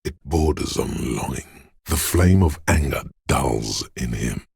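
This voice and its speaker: An actor voicing a video game character, a paranoid, aggressive inner voice of fight-or-flight instinct, speaking in an ominous, raspy, urging way. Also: male voice, animated, darkish tone, dry recording, studio quality, exaggerated voice. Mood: menacing, brooding. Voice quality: very deep, gravelly, raspy. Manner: slow, deliberate, ominous urging.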